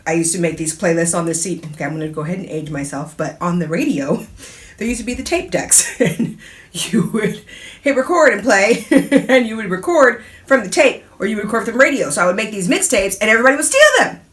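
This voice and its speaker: A middle-aged woman hosting her own talk show in a chatty, casual way, laughing often and breaking into animated asides. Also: medium pitch, a little fast. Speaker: radio host and DJ.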